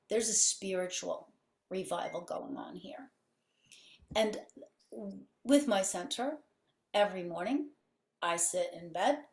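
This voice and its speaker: A woman's voice: fairly low pitch, creaky, darkish tone. A woman guest on a podcast who runs a healing center. She speaks fervently and with emphasis, piling up repeated phrases. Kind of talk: conversational interview. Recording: roomy echo.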